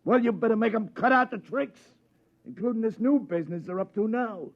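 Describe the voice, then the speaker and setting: Casting: an impatient, demanding police sergeant, raised high pitch, muffled vintage radio sound. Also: male voice, a little fast, gravelly. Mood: irritated, sceptical. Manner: blustering, exasperated. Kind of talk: old-time radio acting. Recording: dull vintage radio drama recording.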